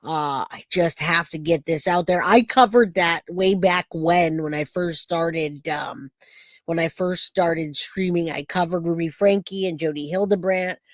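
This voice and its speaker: A man hosting a true crime livestream, hoarse and raspy from a cold, chatting casually and greeting viewers by name. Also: a little high, unhurried, a bit bright, dry recording.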